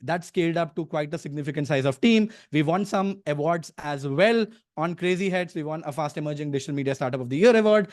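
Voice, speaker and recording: A man's voice: on the high side, fast talker, few pauses, a little mellow, dry recording, clean studio sound. A man with an Indian accent, a native Telugu speaker from eastern India, hosting a live online workshop in an emphatic, informal way with repeated words and rhetorical questions. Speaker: online workshop host and entrepreneur. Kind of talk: live online presentation to an audience.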